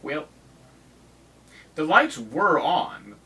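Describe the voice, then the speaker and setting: A man's voice: a little high, huge pitch swings, very slow, sharp tone, far from the mic. A man giving jokey, rambling let's-play commentary on a game, with mock-dramatic outbursts. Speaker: gaming video host.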